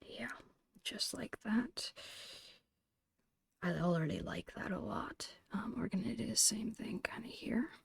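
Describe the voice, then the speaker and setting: A woman's voice: medium pitch, expressive, unhurried, long pauses, dry recording. A woman whispering in an ASMR style, casually thinking aloud in short phrases as she narrates her own digital painting. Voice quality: breathy whisper.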